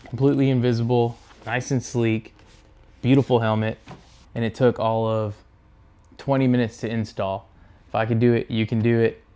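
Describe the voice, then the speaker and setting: A man with a soft-edged, mellow voice at a middling pitch walks through a hands-on gear install. He talks casually, as host of his own how-to video, taking each step without hurry while his hands are busy. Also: little pitch movement, normal speed, smooth. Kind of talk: casual hands-on tutorial narration.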